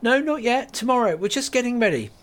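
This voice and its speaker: A man's voice: very high-pitched, animated, fairly quick, pitched above the performer's own voice. A man doing a frantic young woman. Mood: eager. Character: frightened young woman, later eager shop owner.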